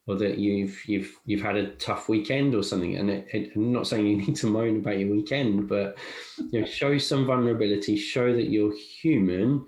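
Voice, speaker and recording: A man hosting a podcast, talking in a thoughtful, halting way that often repeats words. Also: lowish voice, a little mellow. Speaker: podcast host. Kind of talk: casual podcast chat. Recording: echoey room.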